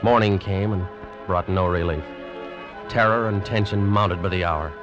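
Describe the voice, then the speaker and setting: Actor as deep-voiced, haunted ship's officer. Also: male voice, moderate pace, natural voice. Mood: brooding dread. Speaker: radio drama lead actor and narrator. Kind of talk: old-time radio suspense narration and acting. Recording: muffled old radio recording, music behind.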